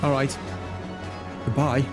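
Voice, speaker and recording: A man's voice: mid-range voice, flat delivery, slow. Guy streaming a game, mid-range voice, chatty casual commentary, music going in the background. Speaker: game livestreamer. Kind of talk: casual chat and gameplay commentary to viewers.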